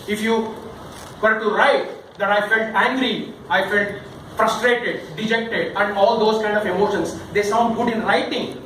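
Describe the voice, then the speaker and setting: A man with a high voice leads a public-speaking workshop. His delivery is emphatic and theatrical, pitched to a group and often questioning. He is heard from a distance in a very reverberant room with clear background noise. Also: fairly bright tone. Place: indoor session room with audience.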